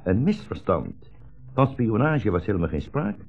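An actor playing a mild, patient old blind rabbi in a 1960s radio play, speaking politely and with measured precision. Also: male voice, fairly low pitch, sing-song, creaky, soft background music, full character voice. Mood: calmly insistent. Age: older. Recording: muffled old radio recording, narrow band.

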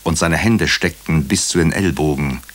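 An actor playing a sober doctor who narrates a crime story in a radio drama, in a measured, literary way. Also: male voice, very deep voice, fairly bright tone. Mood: sober, observant.

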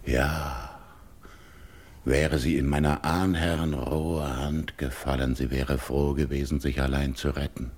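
A brooding, commanding king in a classical radio drama. His voice sits very low and he declaims verse at a slow pace, full of wounded anger. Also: little pitch movement, a little mellow, slightly rough, exaggerated voice. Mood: bitter brooding. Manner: declamatory, measured verse delivery.

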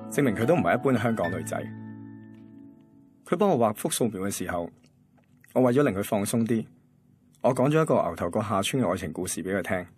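Reflective young man, Hong Kong accent, low pitch, radio drama, prominent background music. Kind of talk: radio drama dialogue and narration.

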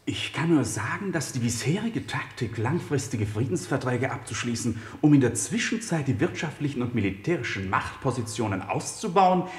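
Man as lofty foreign minister, animated pitch. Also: reverberant, lightly acted. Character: smooth-talking, self-assured male foreign minister, loftily reassuring. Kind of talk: satirical German radio play acting.

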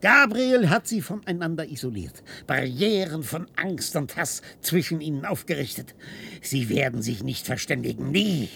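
Obsessive, tormented scientist, wildly swinging delivery. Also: male voice, a little high, lively intonation, vocal fry, a little hiss or hum, heavily acted. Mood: agitated denial. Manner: theatrical, halting, swinging from hushed whispers to outbursts. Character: obsessive, tormented male linguist scientist, desperate and defiant. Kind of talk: German radio play acting.

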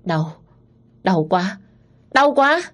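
A woman's voice: low voice, moderate pace, warm tone, slightly rough. A woman voicing a young man recovering from years of madness, speaking coldly and tersely. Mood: in pain. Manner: cold, terse.

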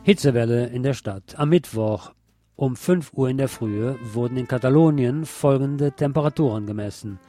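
A man's voice: mid-range voice, normal speed, dark tone. A man presenting scripted local radio news as a narrator. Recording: music playing behind the voice. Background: background music.

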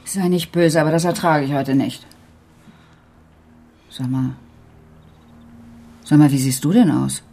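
An actress in her forties playing an anxious teacher and mother in a naturalistic radio crime drama, with a hesitant, emotional delivery and a slightly husky voice. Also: low-pitched, unhurried, pauses often, mellow. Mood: stern, disapproving. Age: 40s. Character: anxious forty-year-old female teacher and single mother.

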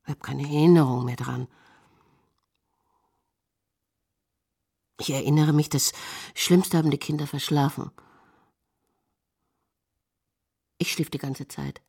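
An actress playing a haunted woman confessing uncertain memories in an audio drama monologue, halting and intense. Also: sits low, fairly even intonation, gravelly, dark tone, husky, heavily acted. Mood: numb, grim. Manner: halting, intense, self-correcting.

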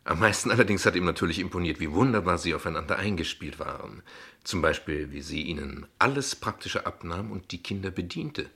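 A shrewd ironic dad in a radio play, husky and sharp, talks very pointed and polite. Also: male voice, low-pitched, animated, fairly quick, heavily acted. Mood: sly, calm. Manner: eloquent, pointed, condescendingly polite. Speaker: actor. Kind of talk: dialogue acting in a German radio drama.

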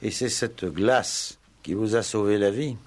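An actor playing a calm, probing police inspector in a radio play, measured and deliberate as he questions. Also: fairly low pitch. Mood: stern, pressing. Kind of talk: 1960s French radio play acting.

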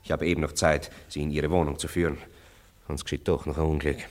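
An actor in a German radio drama playing a worldly, cynical gentleman friend of the groom, speaking persuasively and theatrically. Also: rumbling low, level tone, quick pace. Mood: cajoling, ironic.

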